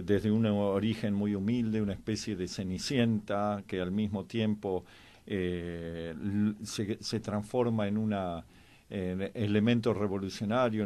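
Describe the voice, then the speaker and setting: A man's voice: on the low side, darkish tone. A man with an Argentine accent giving reflective, explanatory historical analysis in a conversation. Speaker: history commentator.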